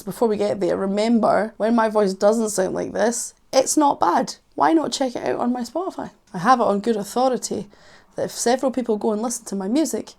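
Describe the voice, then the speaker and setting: A woman's voice: a little high, vocal fry, a little mellow. A Scottish woman in her thirties, a vocal coach giving a casual music reaction with sarcastic, wry asides, while losing her voice. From Scotland.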